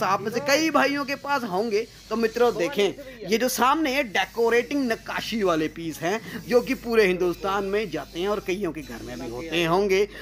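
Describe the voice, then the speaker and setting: A man's voice: high voice, a bit bright, a little hiss or hum. A man gives a walking tour of his workshop and storeroom, talking to viewers as friends in a salesman's patter, recorded on a handheld phone. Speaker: sword shop owner giving a factory tour. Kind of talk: walking tour vlog, promotional.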